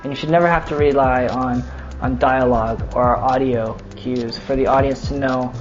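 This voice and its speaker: A man gives an informal explanation in a casual, conversational manner. His pitch is average, the recording is slightly dull, and soft music sits behind him. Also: steady tone, moderate pace.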